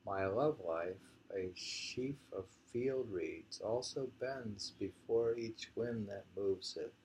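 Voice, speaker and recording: Slow, gravelly man reading poetry. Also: fairly low pitch, a little mellow, slight background noise, rough recording. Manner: deliberate, repeats lines and muses on them. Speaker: poetry reader and commentator. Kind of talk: reading poems aloud with casual discussion.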